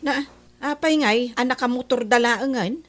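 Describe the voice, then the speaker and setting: A woman's voice: on the high side, unhurried, natural voice. A woman with an Ilocano accent playing a fretful, thrifty younger sister who fusses and exclaims in a comedy radio drama. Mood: agitated. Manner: exclamatory, fussing.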